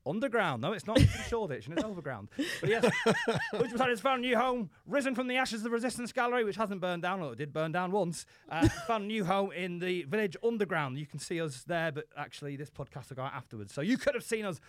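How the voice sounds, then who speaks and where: A man from Yorkshire talks as a podcast guest, staying in a comic wrestling persona with deadpan, self-mocking asides. His voice sits high and swings widely in pitch. The sound is close and dry. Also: fast, no room sound. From Yorkshire, England. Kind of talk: comic in-character chat.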